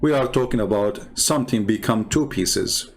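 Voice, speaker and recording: A man speaking English as a second language with a non-native accent, giving improvised commentary as a host, haltingly and repeating key words for emphasis. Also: lowish voice. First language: not English. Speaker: host and religious debater. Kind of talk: improvised commentary over visuals.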